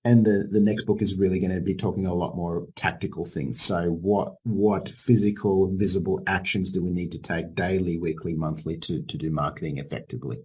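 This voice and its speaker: Husky man, author answering easily. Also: low-pitched, steady tone, a little fast, hardly any pauses, a little mellow. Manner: laughs often, repeats words when starting. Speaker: author being interviewed. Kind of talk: relaxed interview answers.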